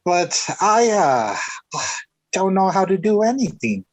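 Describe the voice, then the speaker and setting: An actor playing a naive, gawky American teenage boy with halting, sheepish speech in 1940s radio sitcom style. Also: sits high, big pitch swings, no room sound, full character voice, rough recording. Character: bashful, hesitant teenage boyfriend, naive and gawky.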